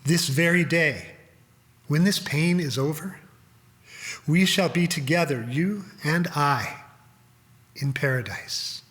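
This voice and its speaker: A man voicing a kind, gentle, suffering crucified figure in a dramatic reading. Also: fairly high pitch, slow, pauses often, in a large hall. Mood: tender, reassuring.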